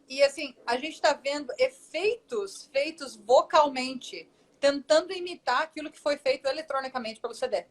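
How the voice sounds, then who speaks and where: A woman's voice: high-pitched, animated, normal speed. Woman explaining voice technique. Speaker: guest voice teacher. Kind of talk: live online interview.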